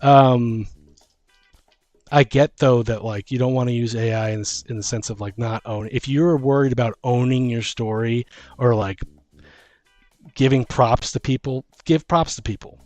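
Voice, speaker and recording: A man's voice: mid-range voice, fairly even intonation, moderate pace, darkish tone, no room sound, faint music behind. A middle-aged man chatting casually as a podcast host, rambling emphatically and often breaking off mid-thought. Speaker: podcast host and tabletop game master. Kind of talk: casual conversational chat.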